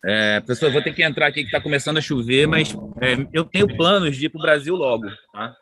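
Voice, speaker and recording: A man's voice: fairly high pitch, fast, sharp tone. Man, Brazilian, thirties, casual, slangy, tag questions, video call. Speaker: guest psychologist and researcher. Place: outdoors at home, moving inside.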